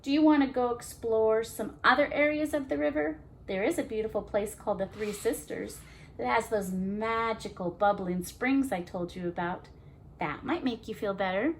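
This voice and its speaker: A woman's voice: on the high side, moderate pace, slight background noise, natural voice, low-quality recording. A woman voicing a caring mother manatee in a children's storybook, soothing and encouraging. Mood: comforting.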